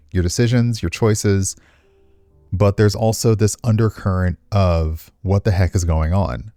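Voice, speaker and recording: A man's voice: low-pitched, mellow, no echo. A man reading visual novel text aloud expressively as a game streamer, slipping into casual commentary.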